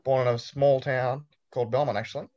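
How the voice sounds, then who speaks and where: A man's voice: medium pitch, little pitch movement, brisk, dull audio. A young Australian man in his twenties, chatting casually as an interviewee with a laid-back, storytelling manner and dry humor. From New South Wales, Australia. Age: 20s.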